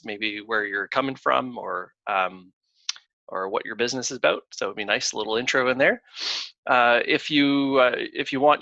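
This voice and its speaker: A man hosting a casual webinar over a video call, talking in a halting way with many fillers and restarts. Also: medium pitch, sharp tone, no room sound. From Canada. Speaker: webinar host, consulting firm founder. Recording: dull lo-fi video call audio.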